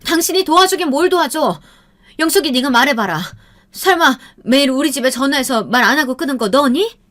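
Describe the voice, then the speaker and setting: A woman playing a shrill furious mother. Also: animated, a little fast. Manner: shouting accusations.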